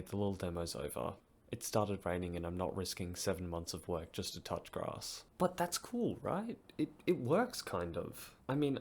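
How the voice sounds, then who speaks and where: A man's voice: on the low side, a little mellow. A young man narrating a DIY project casually, with dry, self-deprecating humour. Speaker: maker presenting a DIY project.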